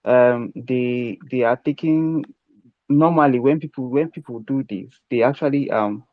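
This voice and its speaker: A man hosts an online Q&A in a middle-pitched voice that keeps breaking into "um" fillers. His audio is dry and dull, like a low-fidelity call. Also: unhurried, no echo. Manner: hesitant, many "um" fillers. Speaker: AMA host. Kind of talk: online crypto AMA questioning.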